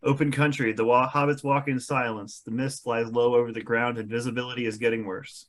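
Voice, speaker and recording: A man's voice: mid-range voice, little pitch movement, fairly quick. A man reading scene descriptions as the narrator of a script table read.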